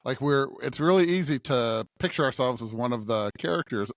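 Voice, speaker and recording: A man's voice: medium pitch, animated, fairly quick, dry recording, clean studio sound. A man co-hosting a podcast, reflecting casually on scripture in an earnest way, repeating phrases for emphasis and checking in with his listener. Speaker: podcast co-host.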